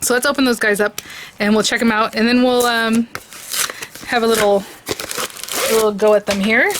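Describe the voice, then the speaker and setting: A hoarse woman hosting a crafting video, chatting excitedly as she reviews and tries out new dies. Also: fairly high pitch, moderate pace, background noise. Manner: chatty and excitable, gushing over products. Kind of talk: casual product review and crafting demo.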